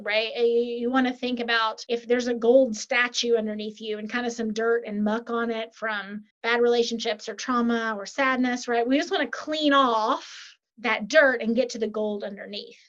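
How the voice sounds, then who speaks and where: Woman, podcast guest, animated, upbeat, fairly high voice, muffled lo-fi sound. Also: normal speed, hardly any pauses. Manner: animated, upbeat, says "right?" often. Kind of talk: casual interview chat.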